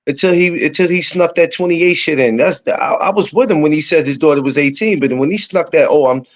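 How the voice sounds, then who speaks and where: A man's voice: a little high, fairly quick, muffled recording. A man, co-hosting a podcast, teasing his friend in playful banter. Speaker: podcast co-host. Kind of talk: casual chat.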